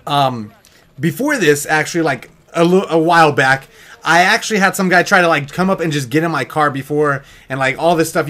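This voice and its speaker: A man with a high-pitched American voice tells a storytime tale to his viewers. He rambles casually, joking and teasing as he goes. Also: fairly bright tone. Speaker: YouTube vlogger telling a story.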